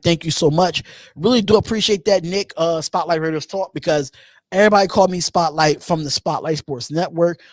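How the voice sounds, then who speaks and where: A man in his thirties hosting a live call-in show on his own, talking casually, repeating phrases and pausing on drawn-out ums while he reads out chat comments. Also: a little high, dry recording, studio quality. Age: 30s. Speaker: host.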